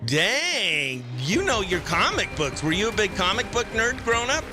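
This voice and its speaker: A man's voice: sits high, sing-song, moderate pace, over loud music. An exuberant American man hosting a morning radio show with playful, chatty banter and effusive greetings. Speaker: morning radio host and comedian.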